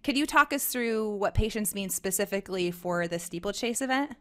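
A woman with a mid-pitched voice hosts a podcast interview. She talks conversationally and with animation, close to a desktop microphone. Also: animated, a little fast. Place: living room indoors. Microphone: desktop microphone close in front.

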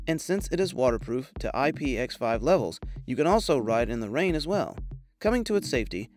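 A man reading scripted product review narration in a measured, promotional way. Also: mid-range voice. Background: background music.

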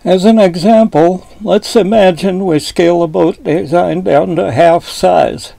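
Older guy teaching slow and steady, dark mellow tone, chatty folksy way of explaining. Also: high-pitched, gravelly, no room sound. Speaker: boat designer explaining model sailing. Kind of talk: explanatory video lesson. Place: wood-panelled boat cabin indoors.

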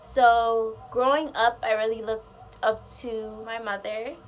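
A woman's voice: fairly high pitch, little pitch movement, slow pace. A young woman of about twenty, chatting casually and expressively to camera as a vlogger at home. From Guyana and the United States, raised partly in Maryland. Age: late teens to about twenty. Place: indoor room at home with curtains.

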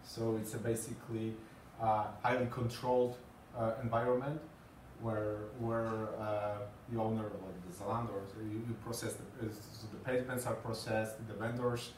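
A man's voice: fairly low pitch, flat delivery, moderate pace, slight background noise. Polish-accented man, echoey and distant. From Poland. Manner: hesitant, many fillers and repeated words. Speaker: conference speaker. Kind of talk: presentation talk. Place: small meeting room with green walls and a screen. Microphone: far camera, no mic seen. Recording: echoey room from far off.

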